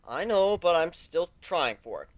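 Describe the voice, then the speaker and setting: A man's voice: on the high side, very animated, unhurried, no room sound, voice pushed up. A man voicing an earnest, self-conscious and easily bewildered male pegasus pony in a dramatic story reading. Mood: reassuring, awkward.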